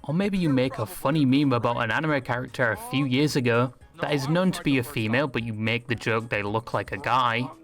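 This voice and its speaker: A man's voice: medium pitch, a little fast, few pauses, fairly bright tone. A man delivering scripted satirical narration in a sarcastic, crude, hyperbolic way. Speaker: video essay narrator. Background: background music.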